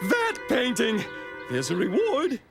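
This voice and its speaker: A high-pitched pompous art snob. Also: male voice, huge pitch swings, slow pace, vocal fry, over loud music, full character voice. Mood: urgent, pompous. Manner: pompous, theatrical. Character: snobbish, excitable male art gallery owner.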